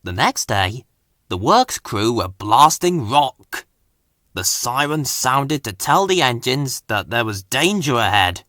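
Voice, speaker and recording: Male children's story narrator, expressive and dramatic, forceful bright tone with big pitch movement. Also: slow pace, no echo, studio quality. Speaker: storybook reader.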